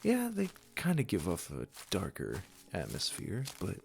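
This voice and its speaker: A man playing a gentle, wise garden keeper in a comforting audio roleplay, speaking in a soothing, affectionate and encouraging way. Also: low-pitched, wildly swooping pitch, measured pace, gravelly, slight background noise, exaggerated voice. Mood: gentle, reassuring.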